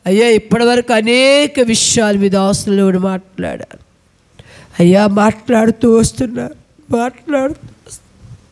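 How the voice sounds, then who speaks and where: A man leading a spoken prayer with a repetitive, pleading cadence, heard from farther off at times. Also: very high voice. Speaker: prayer leader.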